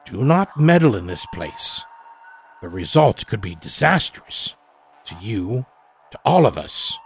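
A man voicing an elderly, evasive doctor in a horror story, speaking in a formal, measured, grave way. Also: lowish voice, sing-song, slightly rough, soft background music, full character voice. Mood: grave, warning. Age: older. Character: elderly evasive doctor running a secret time-travel clinic. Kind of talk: dramatic audio horror storytelling.

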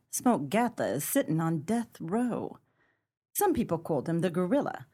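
A woman playing a tough, proud 1930s gang leader in a pulp crime radio play, with brassy, slangy gangster talk and a husky voice. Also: mid-range voice, mellow. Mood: wry, confiding.

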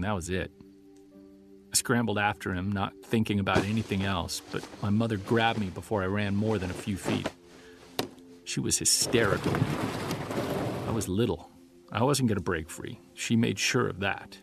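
An actor playing a haunted grown man recalling a childhood monster encounter in a halting, intense audio drama monologue. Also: fairly low pitch, creaky. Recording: music under the voice.

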